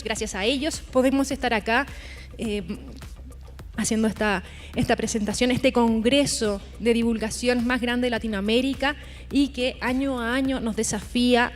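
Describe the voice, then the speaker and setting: Presentadora de voz aguda en evento en vivo. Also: female voice, fairly high pitch. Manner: announcing speakers, addressing the audience. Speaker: event host. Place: large conference hall.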